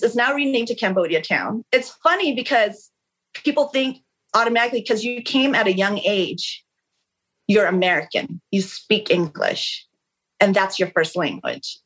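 A woman's voice: a little high, lively intonation, normal speed, a bit bright, slightly rough. A woman whose first language is Khmer, a guest in a casual interview, talking frankly and animatedly, often restarting her sentences. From Long Beach, California. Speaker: interview guest, real estate agent. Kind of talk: casual candid conversation.

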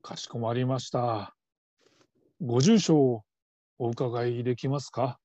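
A man's voice: medium pitch, a little slow, mellow, dry recording, close to an everyday voice. A man playing a flustered, middle-aged father who works as a call-centre operator, stammering in stiffly formal politeness in a radio drama. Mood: stiffly polite. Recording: dull lo-fi audio.

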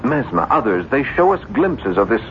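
A man's voice: big pitch swings, moderate pace, natural voice. A man playing a tormented, obsessed writer in a 1970s radio drama, brooding and argumentative in a theatrical way. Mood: haunted, fervent. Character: tormented male French writer, obsessed with an unseen evil. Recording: dull 1970s radio broadcast, faint rumbling noise.

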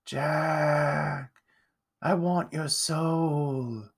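A man voicing an eerie ghost with slow, drawn-out moans, luring a soul in a storybook. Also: fairly high pitch, steady tone, gravelly, husky, pitched above the performer's own voice, full character voice. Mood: eerie, menacing.

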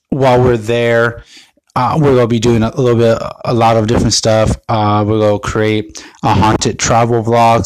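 A man hosting his own podcast, a bit raspy from being under the weather, talking in a loose, rambling way with many fillers and restarts. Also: mid-range voice, a little mellow, no room sound, low-quality recording. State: slightly raspy from illness. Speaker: podcast host. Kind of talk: casual solo podcast update.

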